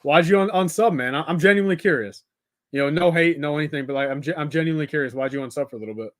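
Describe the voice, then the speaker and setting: A man's voice: on the high side, racing, no echo. A young man talks casually to his livestream viewers. His delivery is unscripted and wandering, sprinkled with informal address like dude and man. The sound is dry, with very little room to it. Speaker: live streamer hosting a building stream.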